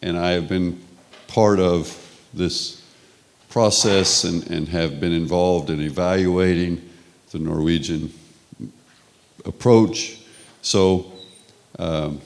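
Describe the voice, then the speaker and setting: A middle-aged man from Texas with a Texan accent, giving a relaxed, conversational conference lecture with frequent restarts. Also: low-pitched, slow pace, long pauses. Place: conference hall.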